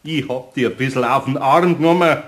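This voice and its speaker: A man's voice: mid-range voice, fairly quick, close to an everyday voice. A man playing a kindly but grumbling older master carpenter with a Bavarian accent in a children's radio play, speaking in a homely, patient way.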